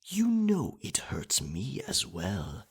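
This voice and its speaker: A man playing a dominant, possessive pirate captain in an intimate ASMR roleplay, teasing and sly, with a raspy, breathy voice. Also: huge pitch swings, heavily acted. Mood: possessive menace. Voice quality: raspy, breathy, gravelly low voice. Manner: slow, deliberate, teasing pirate speech.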